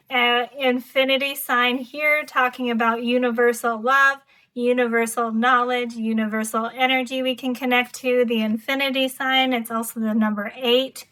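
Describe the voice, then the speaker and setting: Soft-spoken, slightly hoarse tarot reader woman. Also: fairly high pitch, low-quality recording. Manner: calm, soft-spoken, reflective. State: slightly hoarse, losing her voice. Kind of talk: intuitive pick-a-card tarot reading.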